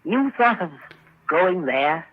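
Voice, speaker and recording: An actor playing a guarded, eerie, quiet-spoken man in a 1940s radio drama. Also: sits high, very animated, slow pace, over a noisy crowd, full character voice. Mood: secretive. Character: Oliver, strange-eyed man with newly regained sight, guarded and eerie. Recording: muffled old radio recording.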